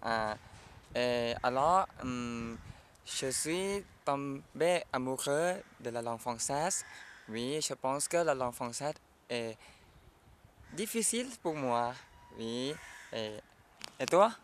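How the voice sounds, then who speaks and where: Man from Thailand, mid pitch, slow and hesitant, faint ambience. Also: animated, creaky, a little hiss or hum. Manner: hesitant, many fillers. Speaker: student.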